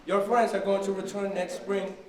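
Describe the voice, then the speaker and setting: A man's voice: high voice, flat delivery, moderate pace, natural voice. A man playing a kindly old forest king who tells stories in a puppet show. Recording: very echoey room, distant mic, faint noise.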